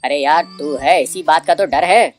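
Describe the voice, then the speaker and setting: A woman's voice: deep voice, normal speed, faint music behind, lightly acted. A woman voicing a brave, cheerful little bird who teases and urges on a friend in a cartoon story. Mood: teasing. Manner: teasing, urging.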